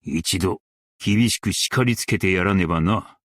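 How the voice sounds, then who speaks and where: A dignified, stern master craftsman and warrior from a game. His voice is very low and he speaks slowly in formal, archaic phrasing, each line weighty and measured. The sound is close and dry. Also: fairly bright tone, dry recording. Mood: disapproving. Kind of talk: Japanese game character voice lines.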